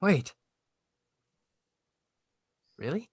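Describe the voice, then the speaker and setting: A man playing an embarrassed, defensive high school boy, halting and exasperated, in a comedy table read. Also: no echo, studio quality. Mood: awkward.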